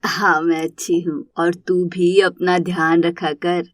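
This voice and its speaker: A woman as an older Indian-story mother, tender, homely, affectionate, lowered pitch, dry recording. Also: flat delivery, takes it slowly, no echo. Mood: fond, wistful. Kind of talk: animated moral story dialogue.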